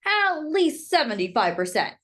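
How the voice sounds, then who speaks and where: A woman's voice: high-pitched, sing-song, moderate pace, fairly bright tone, pitched above the performer's own voice. A woman voicing a prejudiced, blunt male school official testifying in a storybook read-aloud. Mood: cold, matter-of-fact.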